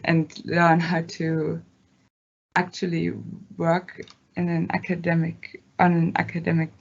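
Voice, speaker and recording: A woman's voice: lowish voice, monotone, a little slow, gravelly, muffled recording. A young woman from Germany, whose first language is German, talking casually and hesitantly as a student ambassador. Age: young adult. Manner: hesitant, many fillers, laughs between phrases. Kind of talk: casual talk at an online open day.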